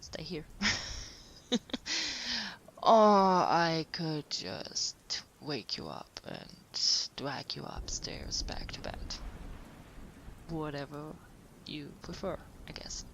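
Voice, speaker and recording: A woman playing a caring partner who is writing late at night, speaking in a gentle, hesitant, breathy half-whisper for an intimate sleep-aid audio roleplay. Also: deep voice, animated, slight background noise, full character voice, rough recording. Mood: gentle, reassuring. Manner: gentle, hesitant, unhurried murmuring.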